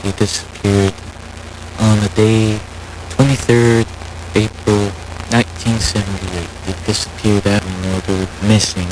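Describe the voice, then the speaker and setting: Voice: deep voice, flat delivery, a little slow, over music, low-quality recording. Someone acting as an emergency broadcast announcer, reporting on missing people in an alert. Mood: urgent.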